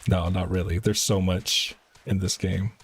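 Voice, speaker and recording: A man's voice: deep voice, level tone, measured pace, breathy edge. A man giving casual gameplay commentary as a game streamer.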